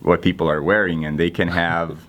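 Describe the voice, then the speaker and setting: A man's voice: low-pitched, fairly even intonation, moderate pace, a bit bright. A young man from Finland with a Finnish accent, a native Finnish speaker, answering interview questions casually with dry, self-deprecating humor. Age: young adult. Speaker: guest intern.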